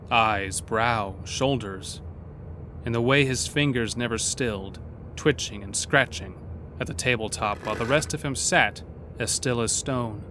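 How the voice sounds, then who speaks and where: A man narrating a scripted horror story in a measured, literary, dramatic manner. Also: medium pitch, background noise.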